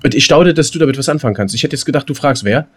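A man chatting casually as a podcast co-host, colloquial and halting, rambling from thought to thought. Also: medium pitch, lively intonation, husky. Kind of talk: casual, unscripted podcast chat.

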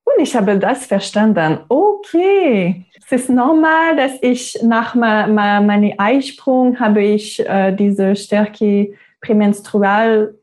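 A woman in her thirties from Quebec, a native French speaker with a Québécois French accent, talking as an interview guest about her yoga work, pausing and restarting as she searches for words. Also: mid-range voice, darkish tone. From Quebec, Canada. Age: 30s. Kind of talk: interview conversation.

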